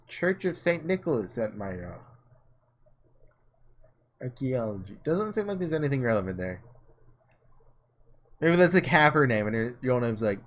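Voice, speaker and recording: A man hosting a casual gaming playthrough, thinking aloud informally and reading visual novel text. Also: mid-range voice. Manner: informal, musing out loud. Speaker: gaming video host.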